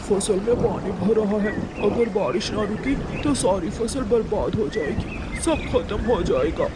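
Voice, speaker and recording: A man's voice: high-pitched, a little slow, very noisy. A man voicing an emotional, protective farmer in a children's cartoon story, speaking emphatically. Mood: alarmed. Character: simple emotional male farmer, protective husband.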